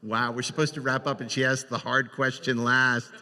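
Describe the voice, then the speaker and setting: Man, panel moderator, mid-pitched, informal, long hall reverb. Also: level tone, normal speed, sharp tone. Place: large indoor panel room.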